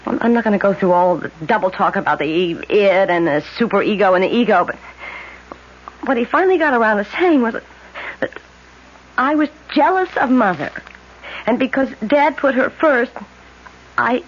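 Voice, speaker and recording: High-voiced, overwrought young American woman. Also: animated. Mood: agitated, weary. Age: young adult. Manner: halting, agitated, emotional. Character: troubled, overwrought young woman plagued by guilt and headaches. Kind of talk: 1970s radio drama acting. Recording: dull, noisy old radio broadcast.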